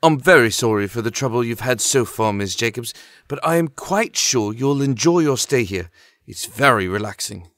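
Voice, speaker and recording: A man's voice: medium pitch, lively intonation, normal speed, hardly any pauses, a bit bright, dry recording. Man playing courteous, dry-miked hotel receptionist. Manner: polite, formal, deferential. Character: courteous, soothing male castle hotel receptionist. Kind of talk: audio drama acting.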